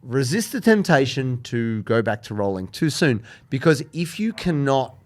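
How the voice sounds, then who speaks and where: A man's voice: medium pitch, very animated, moderate pace. A man co-hosting a podcast, giving earnest, emphatic advice in a casual chat. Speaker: podcast co-host.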